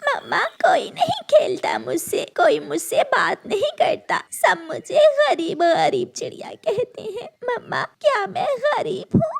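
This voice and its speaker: A woman's voice: very high voice, big pitch swings, a little slow, creaky, few pauses, exaggerated voice. A woman voicing a sweet, innocent little girl bird in a childlike, earnest way for a cartoon. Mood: hurt, plaintive. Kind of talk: Hindi moral-story cartoon dialogue.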